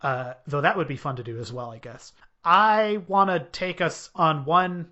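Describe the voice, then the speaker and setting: A man's voice: fairly high pitch, normal speed, bright, forceful tone. A man hosting a casual podcast chat, rambling and joking with many hesitations. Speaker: podcast host. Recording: dull lo-fi podcast audio.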